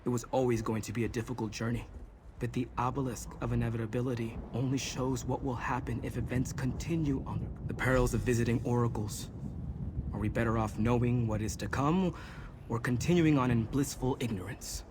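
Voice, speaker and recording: An actor playing a resolute, philosophical mentor in a fantasy game, speaking earnestly and with command. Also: male voice, fairly even intonation, a little fast, background noise, lightly acted. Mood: firm, reflective. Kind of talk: dramatic fantasy game acting. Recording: game audio with rumbling ambience.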